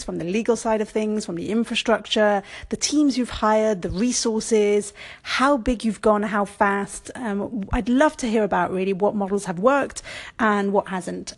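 A woman from France and Brussels hosting a solo podcast, talking things through in a conversational, thoughtful way with frequent ums and self-corrections. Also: mid-range voice. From born in France, raised in Brussels. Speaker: podcast host. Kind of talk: solo podcast monologue on business.

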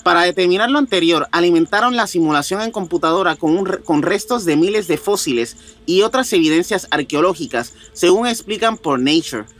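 A man's voice: high-pitched, expressive, brisk, few pauses, a bit bright. A man with a Puerto Rican accent, presenting and reading news as a podcast co-host. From Puerto Rico.

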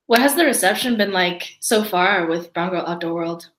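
A woman's voice: lowish voice, moderate pace, a bit bright. Woman interviewer in an echoey room. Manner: polite, brief questions. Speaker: interviewer, co-host. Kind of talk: interview questions. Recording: roomy, echoey remote audio.

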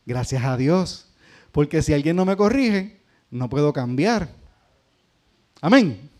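A middle-aged Puerto Rican pastor preaches in a high, light voice. He keeps it conversational and keeps putting questions to his congregation. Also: lively intonation, slow, darkish tone. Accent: Puerto Rican Spanish. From Puerto Rico. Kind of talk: sermon.

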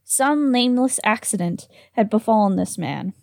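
A woman's voice: mid-range voice, expressive, normal speed, vocal fry, a little mellow, no echo, studio quality. A woman reading a horror story aloud with a slight lisp on s sounds, sometimes tripping over words. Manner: giggly, trips over words. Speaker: reader and co-host.

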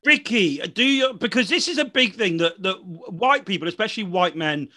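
High bright-voiced chatty man host. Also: expressive, normal speed. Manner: jokey, chatty, laughs often. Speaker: podcast host. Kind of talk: casual chat over a video call. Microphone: video call.

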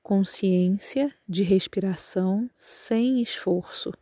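A Brazilian Portuguese-speaking woman guiding a relaxation meditation as a yoga nidra instructor, calm and measured in her instructions. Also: medium pitch, dry recording, clean studio sound.

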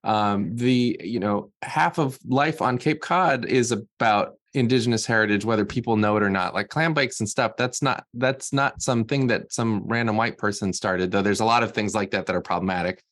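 A man's voice: on the low side, normal speed, few pauses, fairly bright tone, no room sound, studio quality. A man from Massachusetts speaking earnestly as a library guest, thinking aloud with frequent restarts. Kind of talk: casual panel talk.

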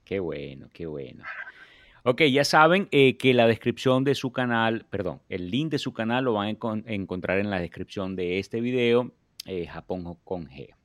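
Low-voiced hesitant male host. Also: lively intonation, normal speed, no echo, clean studio sound. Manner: hesitant, many fillers and restarts. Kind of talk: casual interview. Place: home in the US, dry room.

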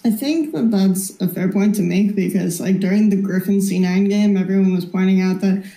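Woman, co-host, warm voice, reasoned argument, roomy sound. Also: mid-range voice, normal speed. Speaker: esports co-host. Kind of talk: casual opinion chat.

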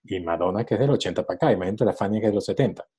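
Un hombre de unos sesenta años, productor de cine invitado a un podcast, que piensa en voz alta con muchas muletillas. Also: male voice, lowish voice, racing, mellow, slightly rough. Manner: thinking aloud, many fillers. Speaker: film producer guest. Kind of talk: casual podcast interview.

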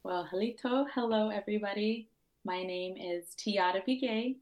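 A woman's voice: medium pitch, moderate pace, a little mellow. A young woman from Oklahoma, recovering from a sore throat, talking casually as a podcast guest and cultural educator. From Oklahoma, United States. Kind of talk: casual conversational interview.

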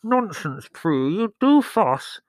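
A man's voice: on the high side, lively intonation, moderate pace, a bit bright, no room sound, pitched above the performer's own voice. A man voicing an earnest, gullible teenage girl with a dramatic, indignant way of talking. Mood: huffy.

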